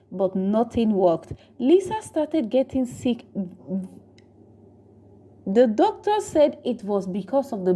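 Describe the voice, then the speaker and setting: A woman's voice: a little high, dark tone. A woman narrating a scripted story in a dramatic storytelling style. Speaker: storyteller, channel host.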